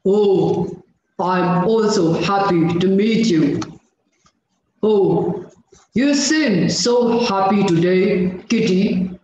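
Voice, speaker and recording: A man's voice: very slow, darkish tone, slight background noise. A man doing Monty, a jolly chuckling monkey. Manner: booming ho-ho laughs, sing-song. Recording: echoey dull video-call audio.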